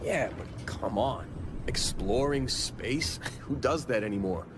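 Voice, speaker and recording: Cocky young space miner, skeptical, folksy, mid-pitched, with clear noise behind him. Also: male voice, animated, takes it slowly. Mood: scoffing. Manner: folksy, scoffing, colloquial. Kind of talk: video game voice acting.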